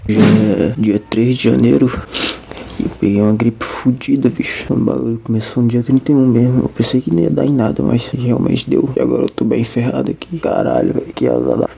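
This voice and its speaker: Gravelly young Brazilian man vlogging. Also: mid-range voice, a little fast, dark tone. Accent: Brazilian Portuguese. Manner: slangy, laid-back, joking. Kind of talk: casual home vlog chat. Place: at home.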